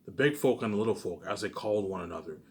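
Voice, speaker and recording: A man's voice: on the low side, expressive, quick pace, a little mellow. A man casually reading a book aloud for his viewers, often stumbling, repeating words and breaking off into emphatic rhetorical asides. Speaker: video creator doing a book readalong.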